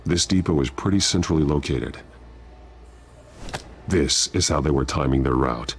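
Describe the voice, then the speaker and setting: An extremely low, gravelly voice of a grim masked vigilante in a video game. He speaks in terse, deliberate phrases with stern menace. Ambient noise sits behind the voice. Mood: grim, focused. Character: grim masked vigilante detective, adult man.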